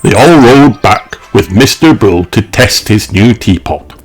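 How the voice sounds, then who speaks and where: A man reading a children's story aloud as narrator, expressive and deliberate. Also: lowish voice, faint music behind. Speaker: audiobook narrator.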